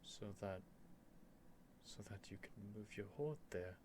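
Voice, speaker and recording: A man playing an earnest, nervous young prince devoted to a dragon, in a halting, emotional ASMR roleplay addressed to the listener. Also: on the low side, animated, darkish tone, a little hiss or hum. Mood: stunned, grateful. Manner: halting, stammering, breathy sighs and whispers, emotional.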